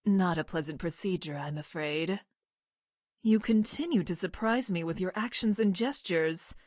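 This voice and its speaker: An actress voicing a coldly clinical scientist from the future in a video game, with deadpan, formal, precise diction. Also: female voice, on the low side, normal speed, dry recording, studio quality, lightly acted. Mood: formal, detached. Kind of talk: scripted video game character lines.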